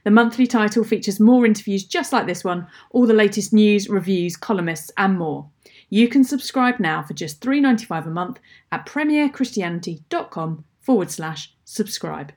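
Lady radio host, reads the intro smooth and steady, mid pitch. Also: moderate pace. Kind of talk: scripted radio introduction and interview.

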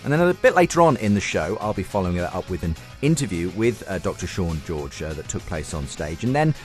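A man's voice: sits low, sing-song, fairly quick, faint music behind. A man presenting a radio programme as its host, introducing items and guests.

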